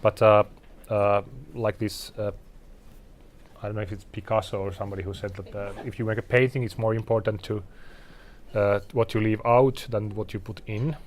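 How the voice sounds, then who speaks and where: Finnish man in his forties, halting. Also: fairly low pitch, level tone. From Finland. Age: 40s. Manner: halting, self-deprecating, clears throat often. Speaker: designer presenting a talk. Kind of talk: casual talk with slides. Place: event venue in front of an audience.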